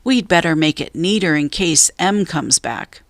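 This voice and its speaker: A woman's voice: low-pitched, animated, a little slow, no room sound. A woman voicing an eager, curious young girl in a children's storybook, with an urging manner. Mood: casual, chatty.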